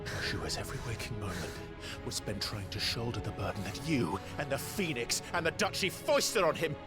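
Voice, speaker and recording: An actor playing a betrayed, brooding young warrior in a fantasy game, speaking with earnest, accusing intensity. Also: male voice, medium pitch, lively intonation, normal speed, close to an everyday voice. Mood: impassioned anger. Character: betrayed, brooding warrior son, fiercely protective brother. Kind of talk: dramatic fantasy game acting. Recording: dramatic music under the voice.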